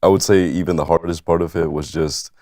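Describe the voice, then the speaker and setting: A man's voice: low voice, steady tone, fairly quick, a little mellow, no echo, studio quality. A young man from Panama, a student guest answering interview questions conversationally and plainly, with some emphasis. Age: young adult.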